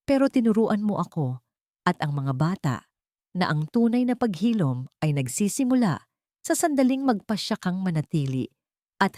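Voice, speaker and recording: A woman voicing a gentle, devoted young woman in love, tender and earnest, in a narrated story. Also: low voice, dry recording, studio quality.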